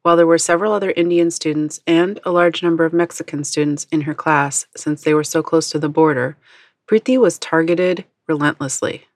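A woman reporter narrating a scripted feature in an even, composed way. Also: deep voice, fairly quick, dry recording, studio quality.

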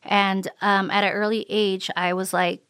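A woman born in Mexico recalling her life in an interview, reflective and unhurried with frequent ums and uhs. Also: mid-range voice, level tone, fairly bright tone, clear, resonant tone, no room sound, studio quality. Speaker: interviewee, immigrant rights advocate. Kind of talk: recorded interview recollection.

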